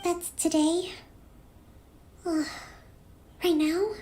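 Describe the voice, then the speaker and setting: A woman playing a gentle, composed young island leader in a video game, speaking in a measured, polite and slightly weary way. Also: very high-pitched, level tone, heavily acted. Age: young adult. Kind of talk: scripted video game dialogue.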